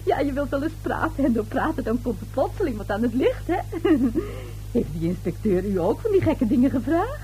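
High, swooping, tipsy gossip lady. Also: big pitch swings, brisk, mellow, faint music behind, poor audio. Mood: tipsy, coy. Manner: chatty, giggly, rambling. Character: gossipy, tipsy, self-important neighbour lady, giggly and coy. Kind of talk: radio play acting. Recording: old radio drama recording.

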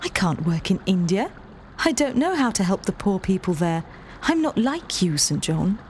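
A woman's voice: mid-range voice, sing-song, normal speed, a little mellow, slight background noise, lightly acted. A woman voicing an earnest, troubled, plain-spoken young woman in an audiobook story. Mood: earnest protest.

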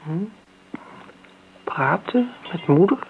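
A bitter grown daughter with a creaky edge, on an old narrow-band radio recording with faint noise. She needles in a dry, sarcastic way, every remark pointed and cool, as in a radio play. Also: lowish voice, lively intonation, slow pace. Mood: needling. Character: bitter, sardonic grown daughter, coolly needling an older woman. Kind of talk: Dutch radio play acting.

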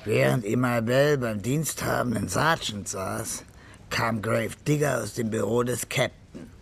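An actor playing the composed narrator of a radio crime drama, reading scripted narration. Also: male voice, medium pitch, measured pace, gravelly, a little hiss or hum.

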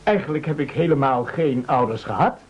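An actor playing a servile, melodramatic castaway sidekick, eagerly agreeing, in a dull, noisy radio play recording. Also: male voice, mid-range voice, lively intonation, brisk, husky. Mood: fawning, mock sorrowful.

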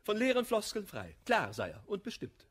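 A jealous suitor in a classical verse radio comedy. His voice is rough-edged and fairly high, with lively swings in pitch. He speaks formally and declaims his demands with pride. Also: moderate pace, full character voice. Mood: demanding. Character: jealous, insistent male suitor, proud and formal.

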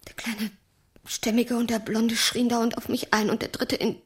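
An actress playing a traumatized, bitter woman confiding in an old friend in a German audio drama, breathy and halting, often slipping toward a whisper. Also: on the high side, flat delivery. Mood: numb, shaken.

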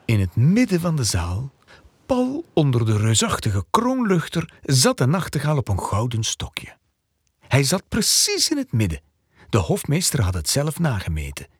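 A narrator telling a scripted children's story in an expressive storytelling style. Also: medium pitch, normal speed, breathy edge, no echo, studio quality. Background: music.

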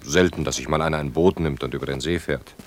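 An actor playing a shy, courteous bachelor in his early thirties in a naturalistic radio play, speaking hesitantly with gentle irony. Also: rumbling low, fairly even intonation, fast talker. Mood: wistful. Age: 30s. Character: shy, courteous, wry bachelor of thirty-two, eager host.

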